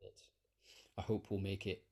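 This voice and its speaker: A man's voice: low-pitched, completely flat delivery, moderate pace, gravelly, warm tone. A middle-aged man, an interview guest, talking casually in a hesitant, thoughtful, understated way. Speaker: interview guest, composer and conductor. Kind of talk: casual, reflective conversation.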